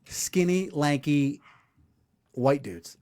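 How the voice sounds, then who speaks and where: A man in his forties hosting a casual comedic podcast chat, telling stories animatedly and doing quick voices. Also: fairly high pitch. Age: 40s. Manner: animated storyteller, puts on voices. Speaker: podcast co-host.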